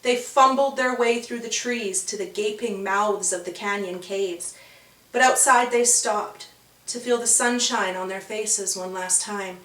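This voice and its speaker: A woman narrating a scripted children's story in a measured, dramatic storytelling manner. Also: medium pitch, a bit bright. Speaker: author and narrator. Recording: roomy, distant from the mic.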